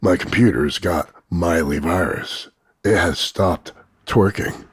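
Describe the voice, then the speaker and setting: A man voicing a cocky, crude macho action hero, gravelly and husky, delivering smug deadpan one-liners in a slow drawl of punchlines. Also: low-pitched, no echo, studio quality, heavily acted. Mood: deadpan, mocking. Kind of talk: voice-over demo of insults and one-liners.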